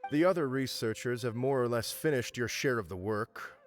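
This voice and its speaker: A man's voice: medium pitch, normal speed, faint music behind, natural voice. A man voicing a formal, fussy administrator in a video game, speaking deferentially and sometimes scolding.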